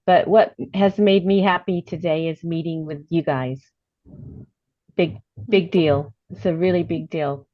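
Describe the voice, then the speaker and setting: A woman's voice: on the low side, fairly even intonation, unhurried. A woman chatting casually and appreciatively on a video call. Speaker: meeting participant, parishioner. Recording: dull video call audio.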